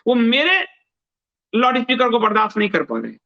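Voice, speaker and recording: A man's voice: very high-pitched, wildly swooping pitch, fairly quick, vocal fry. A man hosting news commentary, reading aloud and adding emphatic remarks, repeating phrases for stress, in a dull lo-fi recording. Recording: dull, lo-fi band-limited sound.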